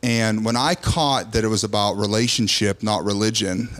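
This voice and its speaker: Earnest pastor talking in a podcast. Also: male voice, on the low side, fairly even intonation, moderate pace, a bit bright. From Serbian heritage, raised Serbian Orthodox. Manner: earnest, repeats phrases for emphasis. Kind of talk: casual podcast conversation.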